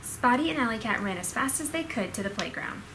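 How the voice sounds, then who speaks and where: A woman's voice: a little high, lively intonation, fairly quick, background noise. A woman storyteller reading a children's storybook expressively to her child.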